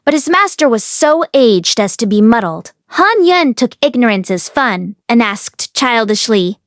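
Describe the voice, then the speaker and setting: Female audiobook reader, higher-pitched voice, scripted novel narration, dry close sound. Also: big pitch swings, unhurried, no room sound.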